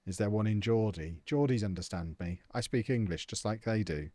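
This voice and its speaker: A man's voice: on the low side, lively intonation, moderate pace, warm tone, no echo. A young man chatting casually and jokily on a live stream, answering viewers' comments as he plays a football management game.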